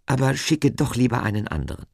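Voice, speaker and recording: A man's voice: medium pitch, lively intonation, fairly quick, gravelly, darkish tone, dry recording, voice pushed up. A nervous, reluctant prophet in a Bible tale, acted out by a male narrator. He pleads and questions hesitantly, with the voice lifted and swinging expressively, recorded dry. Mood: pleading, reluctant. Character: Moses, anxious, reluctant, pleading grown man.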